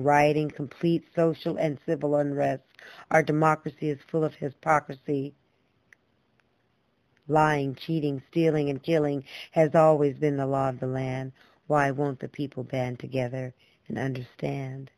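A woman delivering spoken-word poetry and personal testimony as a storyteller, with emphatic, deliberate phrasing. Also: a bass voice, mellow, no echo, poor audio. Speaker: featured storyteller and poet.

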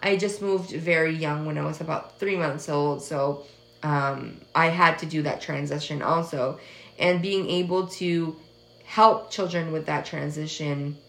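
A woman in her twenties, from the United States, with a first language of French, hosts her own podcast. Her voice sits low and carries a gravelly fry as she talks in a soft, hesitant, earnest way with frequent pauses. The recording picks up a noticeably roomy, echoing space. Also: steady tone. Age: 20s. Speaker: podcast host. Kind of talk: casual personal podcast monologue. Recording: echoey room.

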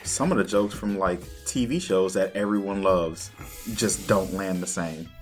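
A man co-hosting a casual podcast, talking in an animated, emphatic way. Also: low voice, measured pace, faint music behind. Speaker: podcast co-host. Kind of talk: casual chat.

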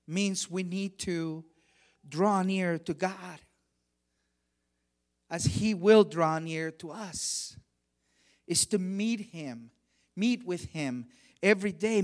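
A middle-aged man from Honduras preaching a sermon, measured and emphatic, with quieter stretches for personal stories. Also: sits high. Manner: measured preaching, rising emphasis on key phrases. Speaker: guest preacher.

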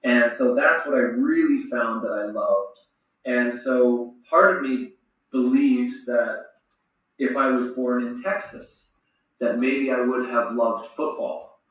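A middle-aged man from Saskatchewan, a retired hockey player slowly answering children's questions with a reflective manner and restarts. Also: medium pitch, muffled recording. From Saskatchewan, Canada. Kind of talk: thoughtful Q&A with children. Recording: roomy, echoey sound from far off.

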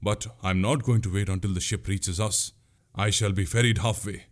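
A man's voice: fairly low pitch, steady tone, normal speed, lightly acted. A man playing a bold, commanding young prince in a historical tale, with formal, resolute speech. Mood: decisive. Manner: formal, resolute, archaic wording.

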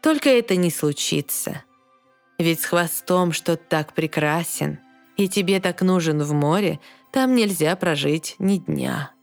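A woman playing a wise, kindly old mermaid grandmother in a verse audio fairy tale, calm and measured as she explains. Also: on the low side, soft background music. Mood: firm advising.

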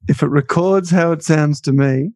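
Male co-host, slightly high pitch, dry close sound, wry humor. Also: moderate pace, a little mellow, no echo. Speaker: podcast co-host. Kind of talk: casual podcast chat.

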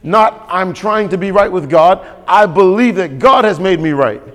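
A man's voice: high voice, expressive, normal speed, fairly bright tone. A man preaching a sermon as pastor, emphatic and repetitive, calling for responses from the congregation. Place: church sanctuary. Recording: long hall reverb.

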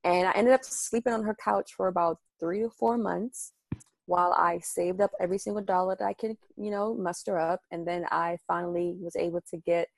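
A woman's voice: on the low side, steady tone, brisk, vocal fry, no room sound, rough recording. A young woman from the Washington DC and Maryland area, telling her life story in a casual interview, chatty and full of detail. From United States, Washington DC and Maryland area. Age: young adult. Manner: chatty, detailed storyteller with frequent ums and laughs. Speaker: radio show host and guest.

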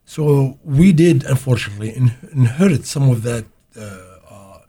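Kurdish-accented man, mellow halting voice. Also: medium pitch, breathy edge. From Iraqi Kurdistan. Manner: halting, many uh fillers and restarts. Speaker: guest, hospital interpreter. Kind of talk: casual interview talk.

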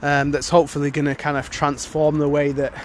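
Man, blocked-up cold voice, rambling podcast chat, fairly high pitch, light street noise. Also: flat delivery, fairly quick. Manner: rambling, many fillers and restarts. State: congested from a cold. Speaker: podcast host. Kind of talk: casual solo podcast talk.

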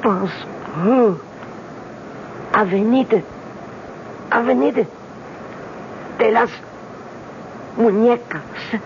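A muffled, noisy old radio broadcast. a dying foreign king, weak and high for a man, forcing out broken phrases extremely slowly. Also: vocal fry, full character voice. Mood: weak, urgent. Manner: halting, broken English. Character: dying foreign king, gasping out last halting instructions. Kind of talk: 1970s American radio drama acting.

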